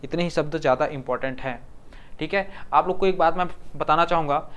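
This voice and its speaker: This is a man teaching exam-prep grammar in Hindi with a Chhattisgarh accent. His voice is clear and resonant and a touch higher than most men's. He repeats each word over and over and keeps asking whether everyone follows. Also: a little fast, a bit bright. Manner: repeats words and asks the class to confirm.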